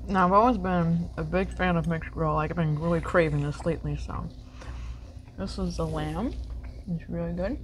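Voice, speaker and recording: Young woman, food vlog host, casual chatty mealtime talk, slightly low voice, noisy restaurant sound. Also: steady tone, moderate pace. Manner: chatty, upbeat, talks while eating. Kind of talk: casual mukbang chat. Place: restaurant booth. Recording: restaurant with clear background noise.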